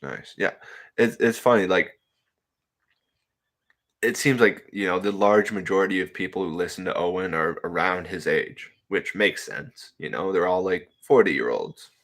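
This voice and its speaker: A young man in his twenties with a deep, low voice talks loosely to his livestream chat. He rambles in a laid-back way, reads comments aloud, and laughs easily between thoughts. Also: a little slow, a bit bright. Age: 20s. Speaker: livestream host reading chat. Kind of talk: casual solo livestream chat.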